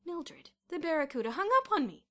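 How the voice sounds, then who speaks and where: A woman's voice: mid-range voice, flat delivery, moderate pace, a bit bright, lightly acted. A woman voicing a stern, middle-aged male headmaster, indignant and emphatic, in a storybook narration. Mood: outraged.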